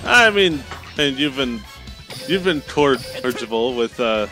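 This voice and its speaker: A man's voice: mid-range voice, expressive, takes it slowly, over music. A man chatting casually as a game streamer, teasing and swearing freely. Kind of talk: casual chat while livestreaming a game. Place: live game stream, music behind.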